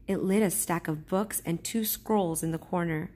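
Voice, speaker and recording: A woman reading a children's storybook aloud as a teacher would, in a measured, careful storytelling manner. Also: on the low side, mellow.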